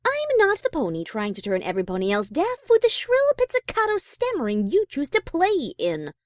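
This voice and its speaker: A woman voicing a haughty, easily exasperated high-class pony musician with formal, indignant diction in a story reading. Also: huge pitch swings, normal speed, no echo, studio quality. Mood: haughty exasperation.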